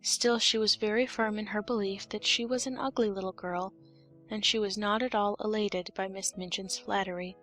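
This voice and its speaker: A woman's voice: mid-range voice, fairly even intonation, normal speed, fairly bright tone, soft background music. A woman reading scripted storybook narration as an audiobook narrator.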